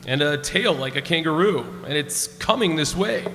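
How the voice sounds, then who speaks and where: An actor playing a dutiful space expedition commander in a comic radio play, formal and wry. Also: male voice, on the high side, expressive, moderate pace, heavy background noise. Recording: echoey hall with long reverb.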